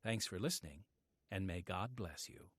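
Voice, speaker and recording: A man reading a scripted radio announcement as program host, with an earnest, measured delivery. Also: low-pitched, lively intonation. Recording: music bed behind the voice. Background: music.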